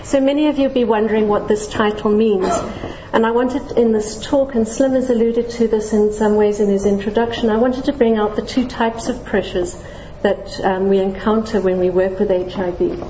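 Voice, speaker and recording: A middle-aged woman from Zambia speaks as a scientist to a general audience, her voice mellow and her delivery friendly, with light self-deprecating jokes and frequent ums. The sound is dull and echoey, as in a lecture hall, with faint room noise behind. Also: medium pitch, steady tone, normal speed. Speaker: scientist giving a public lecture.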